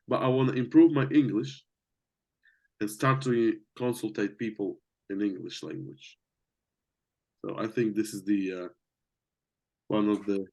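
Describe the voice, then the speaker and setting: A man's voice: on the low side, darkish tone. A young man from Latvia with a Latvian accent, a native Latvian and Russian speaker, talking casually and searching for his words. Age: young adult. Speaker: business coach. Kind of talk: casual conversation.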